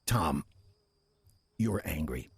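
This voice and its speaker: Casting: sly demon in horror audio drama, low raspy voice, swooping inflection, mock-polite. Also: male voice, lively intonation, no echo, exaggerated voice. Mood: sly politeness. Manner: measured, insinuating, mock-polite. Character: sly, smooth-talking demon posing as lovable old church deacon.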